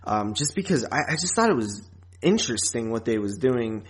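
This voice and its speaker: A man podcast host giving a casual film review in informal, slangy talk, often halting and circling back over his phrases. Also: medium pitch, big pitch swings.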